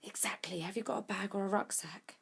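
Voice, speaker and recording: A woman's voice: medium pitch, brisk, gravelly, slightly rough. Actress as terse, commanding woman survivor. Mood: firm, guarded. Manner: commanding, terse, often hushed. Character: Katrina, tough urgent woman survivor of infection outbreak. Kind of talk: audio drama acting with first-person narration.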